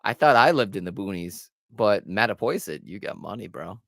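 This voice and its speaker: A man's voice: lowish voice, lively intonation, normal speed, creaky, no room sound, studio quality. A young man from Massachusetts with a Massachusetts accent, chatting casually and profanely as host of a manga read-along stream, packing his talk with "like". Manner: rambling and profane, full of "like".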